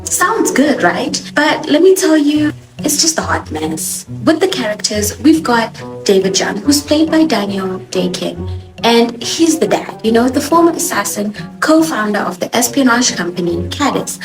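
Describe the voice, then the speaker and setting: A young woman, a native Swahili speaker with a Johannesburg accent, giving a sassy, expressive TV show review as host. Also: on the high side, unhurried. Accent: South African (Johannesburg). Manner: expressive, sassy, animated emphasis. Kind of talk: casual opinionated review. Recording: echoey room with music behind.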